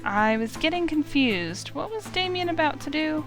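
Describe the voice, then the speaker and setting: A woman's voice: sits high, lively intonation, moderate pace. A woman streamer reading a visual novel aloud as dramatic first-person narration. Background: faint music.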